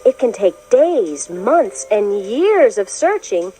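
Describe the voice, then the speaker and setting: A woman narrator reads a scripted children's nonfiction book at an unhurried pace. Her intonation moves expressively up and down, and each phrase is measured and explanatory. Music plays along with the narration. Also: mid-range voice, sing-song, warm tone, poor audio.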